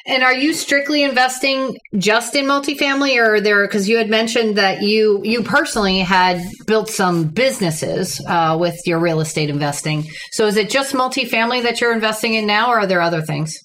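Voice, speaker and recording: A woman's voice: mid-range voice, fairly quick, hardly any pauses, a bit bright, a little hiss or hum. A woman hosting an interview, asking questions in a conversational style. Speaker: podcast host, interviewer.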